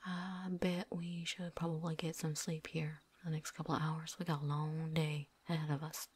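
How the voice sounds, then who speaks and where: A woman playing a tough, world-weary vampire hunter in a whispered ASMR roleplay, breathy, with a folksy put-on drawl. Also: deep voice, little pitch movement, moderate pace, darkish tone. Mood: low, steady. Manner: folksy drawl with dropped endings, put-on accent.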